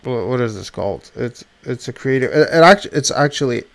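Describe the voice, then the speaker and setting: A man's voice: mid-range voice, lively intonation, a little fast, vocal fry. Rambling, swearing man at his webcam. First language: not English. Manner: rambling, swears often, many restarts. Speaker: vlogger. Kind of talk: rambling personal rant. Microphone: webcam at desk.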